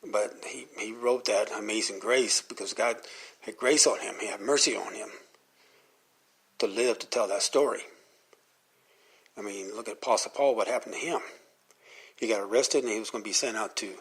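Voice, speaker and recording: Gravelly older man, low and husky. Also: normal speed, long pauses, a bit bright. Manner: rambling, conversational, earnest. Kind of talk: informal devotional talk.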